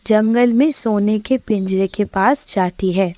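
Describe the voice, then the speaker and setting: A woman's voice: medium pitch, measured pace, warm tone, slight background noise. A woman narrating a scripted animal story for children as a storyteller.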